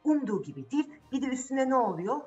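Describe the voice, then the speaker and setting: A woman's voice: high-pitched, sing-song, fast, a little mellow, muffled recording, soft background music. A woman storyteller retelling a fairy tale to children in a dramatic, conversational way, with rhetorical questions and repetition. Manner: dramatic, rhetorical questions, repeats phrases for suspense. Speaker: children's storyteller, channel host.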